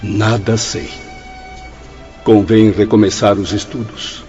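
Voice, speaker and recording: A man acting an elated spirit patient in a radio drama, speaking in slow, emphatic declarations. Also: fairly low pitch, darkish tone. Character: elated male spirit patient, newly convinced he is immortal. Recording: dull lo-fi radio drama audio, music behind.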